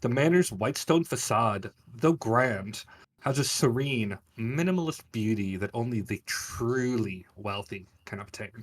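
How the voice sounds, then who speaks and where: A man's voice: medium pitch, animated, a little slow. Man narrating a fantasy tabletop game. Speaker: game master.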